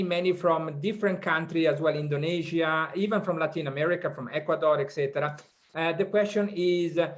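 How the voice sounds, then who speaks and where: A man hosting a workshop from Sydney over a video call, speaking haltingly with many fillers and non-native phrasing. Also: fairly high pitch. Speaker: workshop host. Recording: dull lo-fi call audio.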